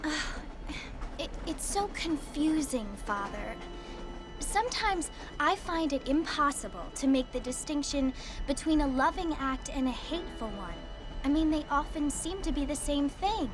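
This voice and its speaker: A woman's voice: sits high, lively intonation, unhurried, music in the background. A woman playing a naive, earnest, devout young frontier woman in a western video game. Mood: confused, earnest.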